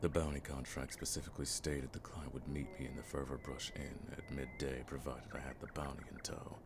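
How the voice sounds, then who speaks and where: Man acting a very deep, gravelly veteran monster hunter. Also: level tone, background noise. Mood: dry, wry. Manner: dry, unhurried, wryly formal speech. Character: weathered veteran fantasy monster hunter, dry, world-weary man. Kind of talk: scripted fantasy roleplay audio drama.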